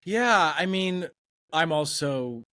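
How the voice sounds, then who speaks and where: A man's voice: fairly high pitch, animated, slow pace, sharp tone, no room sound, studio quality. A man hosting a podcast, talking in a casual, earnest way and sharing his personal enthusiasm. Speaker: podcast host. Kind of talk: conversational podcast interview.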